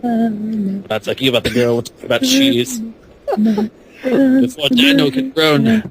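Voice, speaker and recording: An animated man narrates comic fan fiction with mock drama. His pitch moves around a lot as he drops in playful asides, with faint noise behind him. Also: a little slow, gravelly. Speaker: podcast guest or panelist reading narration. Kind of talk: comic fan-fiction reading and casual banter.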